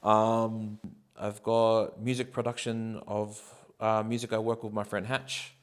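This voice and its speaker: A man, answering interview questions in a relaxed, rambling way with many ums and likes. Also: on the low side, unhurried. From New Zealand. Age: 30s.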